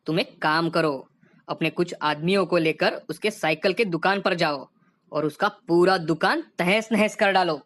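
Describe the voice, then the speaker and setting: Storybook villain: bossy, scheming male hotelier played by a woman, lowish pitch. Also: unhurried, natural voice. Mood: angry, scheming. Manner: bossy, threatening. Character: jealous bullying male hotel owner, scheming.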